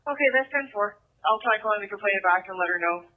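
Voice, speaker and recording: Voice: medium pitch, quick pace, narrow, tinny audio. A dispatcher relaying calls and details over a two-way radio, heard through a police scanner. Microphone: radio transmission picked up by scanner.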